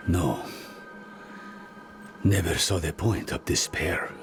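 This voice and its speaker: A guilt-ridden healer from a fantasy game, his voice low and full of gravel. He speaks very slowly and haltingly, worn down by grief yet resolute, with music playing behind him. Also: exaggerated voice. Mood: weary, resolute. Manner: slow, halting, solemn. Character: grieving, weary male spirit-healer, guilt-ridden but resolute. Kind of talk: dramatic video game acting.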